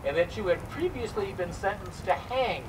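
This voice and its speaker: Man acting as a stern, high-pitched male interrogator. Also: lively intonation, moderate pace. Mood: accusing. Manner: formal, probing, accusatory. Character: stern, suspicious male immigration interrogator of the 1910s. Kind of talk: dramatic reading of interrogation transcript. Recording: distant microphone in noisy live room.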